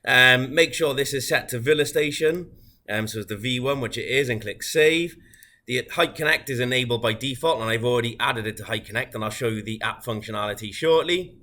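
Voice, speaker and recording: Male product demonstrator, bright forceful voice, mid pitch, methodical and informal tutorial delivery. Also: fairly quick. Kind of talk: unscripted software walkthrough tutorial.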